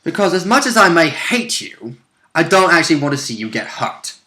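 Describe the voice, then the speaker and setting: A man's voice: fairly high pitch, very animated, a little fast, bright, forceful tone. A man voicing a blunt male fighter who warns a relative to take his opponent seriously, in a plain, urgent manner. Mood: earnest. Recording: roomy echo.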